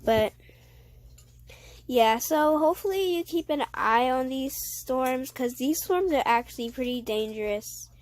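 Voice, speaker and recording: A woman hosting a casual weather update, reading forecast text aloud haltingly and sniffling with a cold. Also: high-pitched, expressive. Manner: halting reading with stumbles and self-corrections. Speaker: weather video host.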